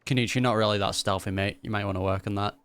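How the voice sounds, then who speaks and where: A man's voice: lowish voice, steady tone, fast, a bit bright, clean tone. A man reacting to a game as a streamer, chatting casually with wry, teasing asides. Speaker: gaming streamer. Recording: faint game music behind.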